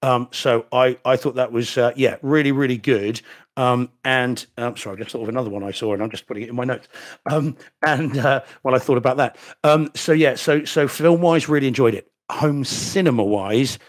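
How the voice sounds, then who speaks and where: A man's voice: medium pitch, brisk. A middle-aged man from New Zealand, a solicitor co-hosting a home theater podcast, talking casually and carefully, with dry asides and restarts, on a desk microphone from a room at home. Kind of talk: casual chat. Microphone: desk microphone on video call.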